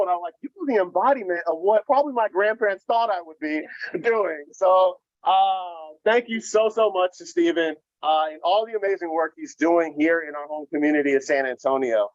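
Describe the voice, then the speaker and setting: Loud, energetic man giving a keynote. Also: high-pitched, no room sound, dull audio. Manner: energetic, loud, humorous, inviting. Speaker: keynote speaker, nonprofit founder. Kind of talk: conference keynote.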